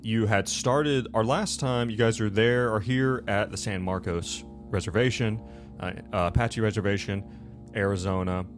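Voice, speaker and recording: Deep-voiced man hosting, rambling along over music. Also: steady tone, moderate pace. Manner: rambling, self-correcting. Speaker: host and game master of a tabletop game show. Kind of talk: casual chat and recap.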